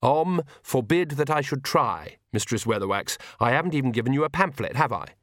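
A man voicing an earnest, polite young priest with a halting, formal manner, in an audiobook. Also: mid-range voice, expressive, fairly bright tone, no echo, studio quality, voice pushed up, lightly acted. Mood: mild protest.

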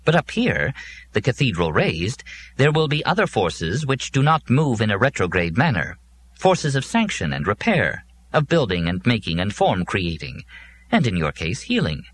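A man's voice: fairly low pitch, big pitch swings, normal speed, fairly bright tone, breathy edge, pitched above the performer's own voice, heavily acted. A man voicing a dour, scornful robot servant in a science fiction audiobook, making formal, ominous pronouncements. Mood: solemn, insistent.

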